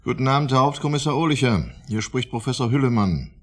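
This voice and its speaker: An actor playing a shrewd, self-important psychiatry professor, formal and probing, with a husky voice in a radio drama. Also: male voice, medium pitch, animated, quick pace, natural voice. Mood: calculating, formal.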